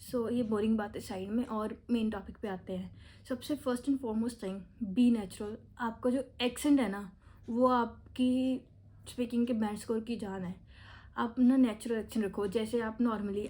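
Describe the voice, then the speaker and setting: A woman's voice: fairly high pitch, dark tone. A young woman with an Indian accent giving exam speaking tips in a chatty vlog, switching freely between Hindi and English. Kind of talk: casual tutorial talk to camera.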